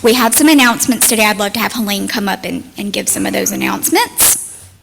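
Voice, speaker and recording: A woman's voice: mid-range voice, expressive, fast, gravelly. A woman from Mississippi addresses a congregation as worship leader. Her voice rings through a long-reverberating hall, with noticeable noise behind it. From Mississippi, United States. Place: church sanctuary with microphone. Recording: echoing hall, audible background noise.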